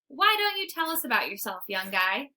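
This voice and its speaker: A kindly female storybook dog, voiced by a woman reading aloud. Her voice is gentle and high, with a lilting, animated way of soothing others. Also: normal speed, heavily acted. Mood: friendly. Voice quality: gentle, lovely voice.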